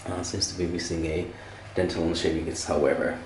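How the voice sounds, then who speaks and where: A man giving a casual, unscripted hotel room walk-through as a travel blogger, halting and repeating words, recorded on a handheld camera. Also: sits low, steady tone, heavy background noise. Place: indoors, moving around a hotel room. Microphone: handheld camera, operator behind it.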